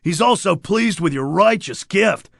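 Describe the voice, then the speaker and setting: An actor playing a laid-back, boastful surfer dude creature in a cartoon game, talking in upbeat beach slang. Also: male voice, high voice, animated, unhurried, gravelly, a bit bright, no room sound, clean studio sound, heavily acted. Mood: casual, conspiratorial. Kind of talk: animated game character dialogue.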